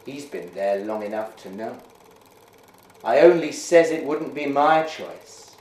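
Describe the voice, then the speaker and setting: A man voicing a blunt, gossipy village blacksmith, low and plain-spoken, in a roomy echo. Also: animated, unhurried, darkish tone, natural voice. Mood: gossiping.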